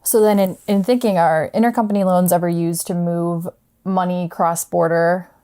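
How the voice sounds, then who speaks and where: A woman's voice: lowish voice, fairly even intonation, moderate pace, dark tone, clean tone. A woman hosting a podcast interview, friendly and hedging her questions as she searches for words. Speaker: podcast host.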